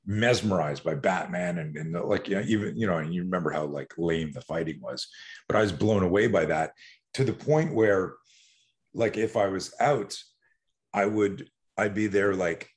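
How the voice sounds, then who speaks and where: A man's voice: sits low, normal speed. A man in his sixties from Canada, a podcast guest telling rambling stories with many restarts. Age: 60s. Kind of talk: casual podcast interview.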